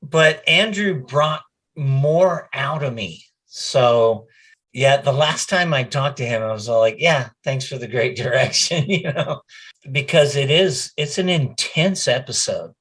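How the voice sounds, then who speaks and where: A man's voice: medium pitch, expressive, unhurried, fairly bright tone, breathy edge. A middle-aged man, a voice actor, chatting casually as an interview guest.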